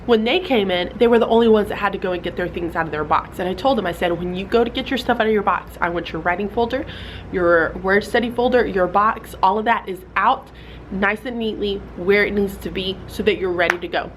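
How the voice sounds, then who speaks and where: A woman's voice: medium pitch, brisk, rough recording. Young woman teacher, casual vlog delivery, mildly congested, chatty with fillers; light background noise. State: slightly stuffy from a cold. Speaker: fourth grade teacher. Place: classroom.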